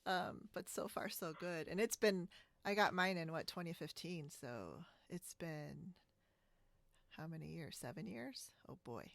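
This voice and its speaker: A woman hosting a podcast in casual, informal conversation, chatty and quick to giggle. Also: lowish voice, expressive, vocal fry, a bit bright, no room sound. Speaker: podcast host. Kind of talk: casual chat about sewing. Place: indoors, split-screen video call.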